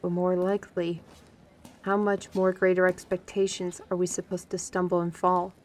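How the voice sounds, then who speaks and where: A woman playing a young, guarded, gently teasing fantasy woman in a roleplay audio drama, talking directly to the listener in a conversational way. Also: lowish voice, little pitch movement, moderate pace, lightly acted. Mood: troubled. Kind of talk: scripted roleplay audio acting, ASMR.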